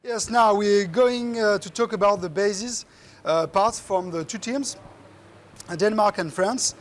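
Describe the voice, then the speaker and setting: A man's voice: sits high, unhurried. A man presenting a live event off the cuff and asking questions.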